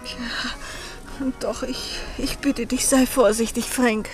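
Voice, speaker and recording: A woman's voice: fairly high pitch, steady tone, slow pace, over music. An actress playing an anxious, downtrodden middle-aged woman in a German radio play, speaking in a hesitant, earnest, pleading way. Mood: worried, pleading. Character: anxious, downtrodden forty-year-old female companion.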